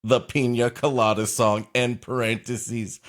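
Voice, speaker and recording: A man's voice: mid-range voice, level tone, unhurried. A man co-hosting a comedy podcast, riffing in casual, wry commentary. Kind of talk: casual comic commentary and banter.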